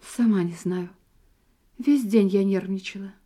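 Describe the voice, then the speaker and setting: An actress playing an affectionate, anxious young wife in an audio play, with expressive theatrical delivery. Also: female voice, mid-range voice, measured pace, natural voice. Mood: uneasy. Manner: expressive theatrical delivery, swinging from playful teasing to uneasy hushed asides. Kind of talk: Russian audio play acting.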